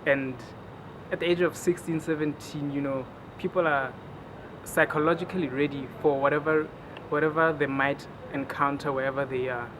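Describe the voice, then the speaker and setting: Young man, slightly high voice, relaxed and hesitant, clear street traffic behind. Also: moderate pace. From Botswana. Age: 20s. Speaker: interviewee. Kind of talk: casual personal opinion.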